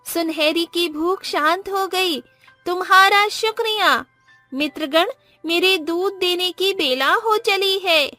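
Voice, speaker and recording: A magical golden cow speaks in a cartoon moral tale, played by a woman. The voice is extremely high and takes its time over each line. The cow is kindly and grateful, over faint music. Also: slow, pushed and bright, dry recording, full character voice. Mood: grateful, benevolent. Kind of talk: animated moral story voice acting.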